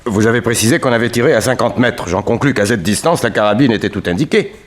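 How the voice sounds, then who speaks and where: A man's voice: mid-range voice, lively intonation, fast, lightly acted. An actor playing a nervous, evasive man of thirty-five under suspicion, defensive and indignant, in a 1960s French radio drama.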